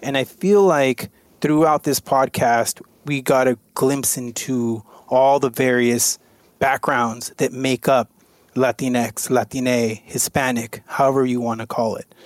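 A man's voice: medium pitch, fairly even intonation, unhurried, no echo. A man from the US West Coast hosting a podcast roundtable in a loose, conversational way. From United States West Coast. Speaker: podcast host.

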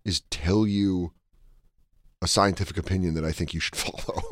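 A man's voice: deep voice, normal speed, no room sound, studio quality. A man co-hosting a comedy podcast, joking in casual banter and slipping into silly voices. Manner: joking, animated, swings into silly put-on voices. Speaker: podcast co-host.

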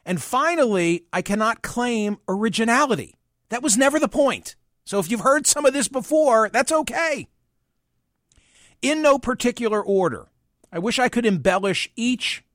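A man in his sixties, hosting his own show, musing in a measured, wry monologue. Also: extremely high pitch, animated, fairly bright tone, no echo, studio quality. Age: 60s. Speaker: podcast and radio host. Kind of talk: reflective personal monologue.

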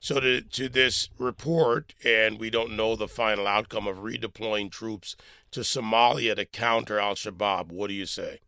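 Guy hosting a talk show, lower voice, clear and crisp, rambles into his questions with lots of uhs. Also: steady tone, normal speed, bright, forceful tone, no room sound. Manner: long, winding questions with many fillers. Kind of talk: interviewing a guest.

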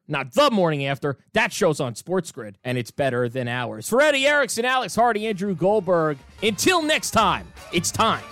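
Lively high-voiced male radio host. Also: dry recording, studio quality. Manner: laughs often, playful and teasing. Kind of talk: casual comedic radio chat.

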